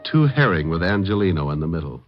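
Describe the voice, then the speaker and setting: Low-voiced wisecracking radio private eye. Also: male voice, sing-song, fast. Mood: wry narration. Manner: dry, flippant, wry delivery. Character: wisecracking, tough, sardonic private detective. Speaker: lead actor and narrator. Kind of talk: 1940s-50s radio detective acting. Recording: dull vintage radio recording, faint music.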